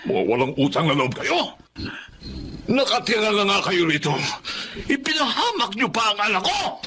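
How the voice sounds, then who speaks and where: An actor playing an angry older landlord who shouts at his tenants in a radio drama. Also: high-pitched, wildly swooping pitch, normal speed, slight background noise, exaggerated voice. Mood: furious. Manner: shouting, scornful.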